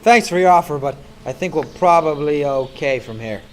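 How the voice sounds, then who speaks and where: Radio comedy narrator-hero, male actor: polite policeman, slightly high voice, mock-serious, scripted delivery. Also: expressive, normal speed, a little hiss or hum, close to an everyday voice. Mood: polite, earnest. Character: earnest, over-polite Canadian policeman narrating his adventure.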